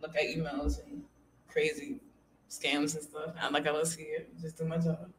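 A young woman chatting casually on a podcast, talking in a flat, monotone way with little energy. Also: low-pitched, normal speed, slight background noise, rough recording. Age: young adult. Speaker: blind date guest. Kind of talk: casual chat. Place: small podcast studio, indoors. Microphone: studio microphone held close.

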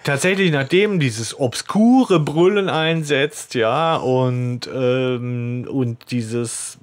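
A man with a medium-pitched voice talks as a podcast co-host. He retells a story informally, in loose everyday language, as if among friends. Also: very slow. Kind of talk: casual chat, retelling an audio drama.

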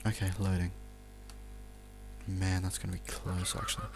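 A young man with an Australian accent talks through a video game as he plays. His voice sits very low and has a lot of fry in it. He rambles in an offhand, muttered way, casual and unscripted, with the odd swear word. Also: level tone, moderate pace, background noise. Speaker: let's play commentator.

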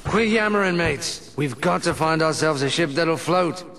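An actor voicing a sly, swaggering pirate captain for a video game, with a slurred, wry drawl. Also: male voice, fairly high pitch, expressive, moderate pace, creaky, a bit bright, slight background noise. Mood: urgent. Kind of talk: video game cutscene acting. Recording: game music underneath.

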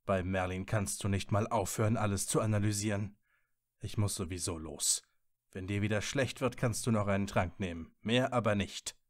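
A man plays a stern and guarded wizard in an audio drama. His voice sits low and is recorded dry and close. He is curt and sardonic, with a bitter, tormented core. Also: normal speed, dry recording, natural voice. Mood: irritated, cold. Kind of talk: fan audio drama acting.

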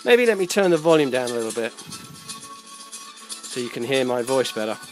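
A middle-aged man giving an informal hands-on tutorial in a halting, explanatory way, often correcting himself. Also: mid-range voice, sing-song. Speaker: electronics hobbyist demonstrator. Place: indoors at a workbench. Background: music playing from a small speaker at times.

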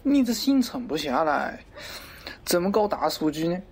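A man's voice: high voice, very animated, normal speed, full character voice. A man playing a pompous middle-aged wholesale market director in a parody radio drama, avuncular and lecturing, crude yet fond of poetry. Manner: avuncular, lecturing, theatrical, abruptly swearing.

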